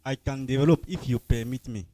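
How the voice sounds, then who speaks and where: Mellow-voiced man, dry and close. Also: mid-range voice, normal speed, no echo. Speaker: congregant.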